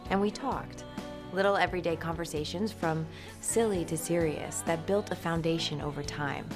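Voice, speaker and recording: Young woman, sincere ad read, lower voice, music bed clear beneath. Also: moderate pace. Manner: heartfelt, reflective. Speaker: speaker in public service ad. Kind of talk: scripted ad narration. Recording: ad with music underneath.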